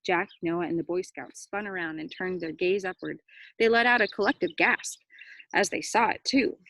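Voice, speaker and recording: A woman reading a children's story aloud, with expressive dramatic emphasis and brief explanatory asides. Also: fairly low pitch, moderate pace, no echo, low-quality recording. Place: outdoors near cows. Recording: faint background noise.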